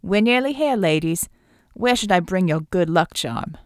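A woman voicing a cheerful, cocky male flying operative, warm and teasing, full of breezy banter, in an audiobook adventure. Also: lowish voice, expressive, normal speed, no echo, studio quality, natural voice.

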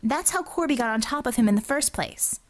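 A woman's voice: a little high, expressive, brisk, pitched above the performer's own voice, lightly acted. A woman voicing a defiant, sarcastic young female healer in an audiobook, arguing in a flippant, clipped way. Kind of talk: audiobook character dialogue.

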